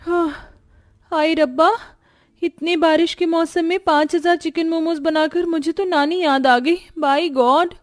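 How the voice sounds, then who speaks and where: A woman's voice: extremely high pitch, fairly even intonation, a little slow, voice pushed up. A woman voicing a put-upon young Indian daughter-in-law in a cartoon, whiny, dramatic and exasperated, with melodramatic complaining. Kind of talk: Hindi cartoon voice acting.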